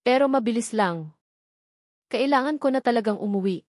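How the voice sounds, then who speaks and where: A woman's voice: fairly high pitch, expressive, normal speed, darkish tone, no echo, studio quality. A woman storyteller voicing a reserved, proud young woman driver in a romance story, polite and guarded. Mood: embarrassed, reluctant.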